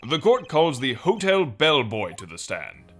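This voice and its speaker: A man's voice: medium pitch, huge pitch swings, measured pace, faint music behind. A man voicing a courteous, authoritative older courtroom judge with a formal manner in a video game fandub. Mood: official.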